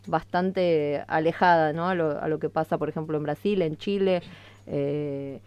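An Argentine woman giving a conversational radio interview as a musician, explaining things in a reflective, halting way. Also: low-pitched, steady tone, a little mellow, clean tone, lo-fi sound. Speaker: interviewed hip-hop musician.

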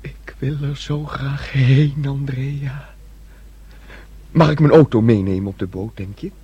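An actor in a Dutch radio play playing a childlike, eager, dreamy young man, naive and insistent, with a husky voice. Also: mid-range voice, a little slow, dark tone, dry recording, lo-fi sound. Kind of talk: Dutch radio drama acting.

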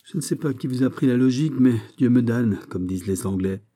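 A middle-aged musketeer captain from a historical novel, performed by a male narrator. He is gruff and fatherly, given to mocking outbursts. His timbre is very dark and soft-edged. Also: mid-range voice, brisk, close to an everyday voice. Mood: sardonic scolding. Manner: blustering, exclamatory, mocking. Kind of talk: dramatised audiobook of a historical novel.

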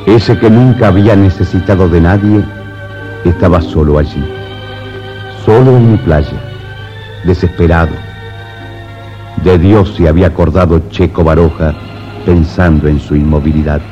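An actor narrating a romantic 1950s radio drama in a grave, poetic, theatrical manner. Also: male voice, sits low, close to an everyday voice. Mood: brooding, lyrical. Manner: theatrical, measured, declamatory. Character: solemn male narrator of a romantic radio play. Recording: muffled 1950s radio recording, loud music. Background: music.

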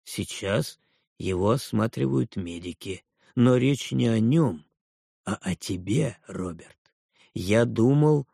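A man voicing a stern, emotionless wealthy father who speaks in a low, clipped, commanding way. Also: expressive, slow, creaky, mellow, husky, no room sound, studio quality, exaggerated voice. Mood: cold.

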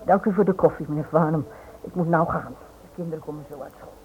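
A woman's voice: deep voice, fast, natural voice. An actress playing a guarded, uneasy married woman in a radio play, hesitant and defensive, with clipped replies. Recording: tinny, noisy old radio drama recording.

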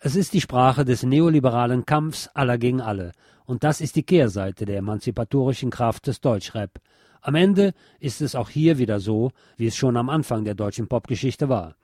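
Male narrator for a radio essay, soft-edged warm tone, very dry studio sound, reading from script. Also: mid-range voice, expressive, moderate pace, no echo. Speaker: narrator reading a book chapter.

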